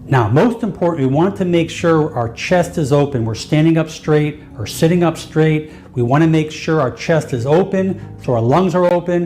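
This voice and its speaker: A man's voice: a little high, normal speed, few pauses, a little mellow, faint music behind. A man giving guided breathing instruction, step by step and with repetition. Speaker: exercise instructor.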